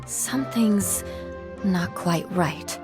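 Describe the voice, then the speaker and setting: A woman's voice: fairly low pitch, very slow. A woman playing a proud, cautious young knight in a video game cutscene, composed and formal. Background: background music.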